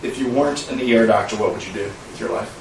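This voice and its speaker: A man's voice: lowish voice, fast, creaky. A man asking questions conversationally as an interviewer. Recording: roomy echo, distant mic, faint noise.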